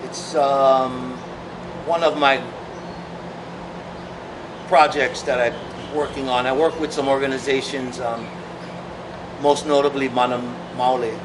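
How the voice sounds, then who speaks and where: A middle-aged man from Hawaii, a songwriter talking thoughtfully in an interview, pausing often with fillers. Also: mid-range voice, steady tone. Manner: thoughtful, halting, many fillers. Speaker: songwriter and musician. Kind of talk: reflective interview talk. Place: large open-sided shed outdoors. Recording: distant mic, clear background noise.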